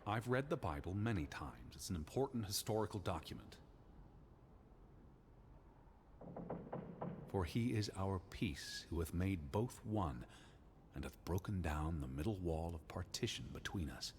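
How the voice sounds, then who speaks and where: An actor playing a stern, learned 1920s American naturalist in a video game, earnest and skeptical, speaking precisely and formally. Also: male voice, sits low, lively intonation, moderate pace, a little mellow, slight background noise. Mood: matter-of-fact.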